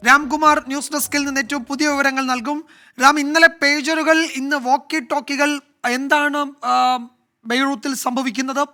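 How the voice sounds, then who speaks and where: A man anchoring a television news bulletin in a studio, reading the headlines crisply and putting questions to a colleague. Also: extremely high pitch, little pitch movement, moderate pace, pushed and bright, faint music behind.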